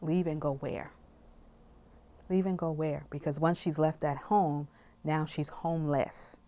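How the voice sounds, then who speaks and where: A woman's voice: sits low, steady tone, a little slow, warm tone. A middle-aged woman who directs a shelter, speaking in an interview emphatically, repeating lines for stress. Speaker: nonprofit executive director. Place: indoor office.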